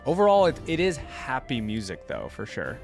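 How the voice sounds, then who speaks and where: A man's voice: medium pitch, huge pitch swings, normal speed, creaky, faint music behind. A man giving casual reaction commentary as a host, thinking aloud in short, informal remarks.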